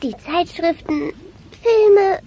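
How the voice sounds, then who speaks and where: Squeaky teenage dinosaur daughter. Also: female voice, slow, dark tone, heavily acted. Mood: defiant. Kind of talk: German children's audio drama acting. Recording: dull cassette transfer, crowd sounds behind.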